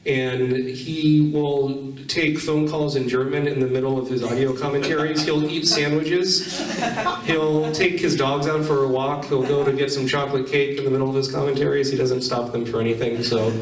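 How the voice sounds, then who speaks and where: A man's voice: medium pitch, steady tone, normal speed. A man presenting a casual convention panel, informal and joking as he takes audience questions and repeats them back. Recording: echoey hall sound, distant from mic.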